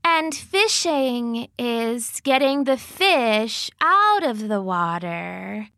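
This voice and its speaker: A woman voicing Goldie, a dim but eager female bear who talks slowly and halts over her words. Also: on the high side, big pitch swings, pushed and bright, no room sound, studio quality, full character voice. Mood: slow, careful. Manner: halting, slow, full of fillers.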